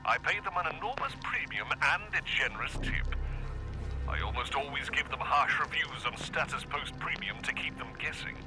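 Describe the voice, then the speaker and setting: An actor playing a smug, callous, ultra-rich tycoon who speaks in a pompous, condescending, theatrical way, as if interviewed on satirical radio. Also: male voice, mid-range voice, lively intonation, normal speed, natural voice, rough recording. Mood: haughty, boastful. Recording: music behind the voice.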